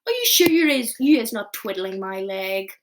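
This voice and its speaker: A woman voicing a kind, very old male giant in a storybook reading, speaking slowly and emphatically with muddled grammar. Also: mid-range voice, very animated, slight background noise. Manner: slow, emphatic, mangled grammar.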